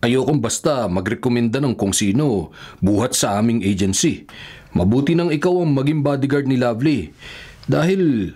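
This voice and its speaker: Husky, insistent middle-aged father. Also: medium pitch, animated, moderate pace, dark tone. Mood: insistent, persuasive. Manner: insistent, persuasive. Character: middle-aged father, loyal bodyguard, insistent with his son. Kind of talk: Filipino radio drama acting.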